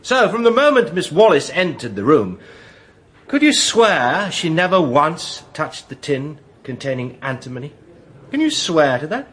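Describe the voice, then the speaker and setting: A cocksure British defence barrister in a radio drama. He is slightly husky, with theatrical swings of pitch and a sly, insistent manner. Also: big pitch swings, unhurried, fairly bright tone, exaggerated voice. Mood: cross-examining.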